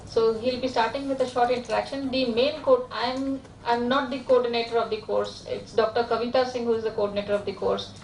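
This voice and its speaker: A woman, a course organiser giving brisk, joking announcements before a lecture. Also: on the high side, steady tone, a little mellow, slight background noise. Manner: brisk, joking, hesitant restarts. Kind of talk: classroom announcements. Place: lecture hall. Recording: echoey hall from far off.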